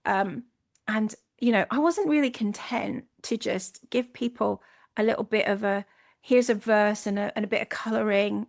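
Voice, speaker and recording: A woman's voice: medium pitch, gravelly. A Scottish woman hosting a solo podcast, speaking in an earnest, reflective way with frequent restarts. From Scotland. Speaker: podcast host.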